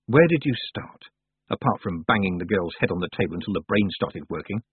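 A man voicing a calm, firm and sensible young girl witch, speaking reproachfully. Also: low voice, a little fast, slightly rough, no echo, heavily acted. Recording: dry, tinny phone-like sound.

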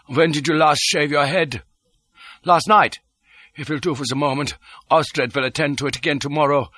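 A man voicing a grimly shrewd, commanding conspirator in an audiobook, speaking briskly with formal courtesy. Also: on the high side, fairly even intonation, sharp tone, no echo, studio quality, voice pushed up. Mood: businesslike.

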